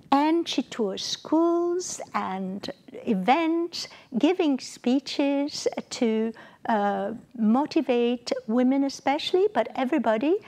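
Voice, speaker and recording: A woman hosting a community TV interview in a small studio, speaking haltingly with many restarts. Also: on the high side, darkish tone. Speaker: host.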